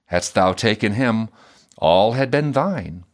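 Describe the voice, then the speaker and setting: Storybook fiddler husband, played by the narrator: blunt, bossy, reproachful, with lively pitch movement. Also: slow. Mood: matter-of-fact. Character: gruff, bossy fiddler husband, scolding and matter-of-fact.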